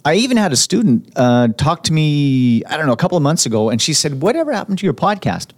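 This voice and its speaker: A man's voice: on the high side, big pitch swings, fast. A man hosting a casual podcast, teasing his guest and laughing easily. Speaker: podcast host and teacher. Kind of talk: casual interview chat.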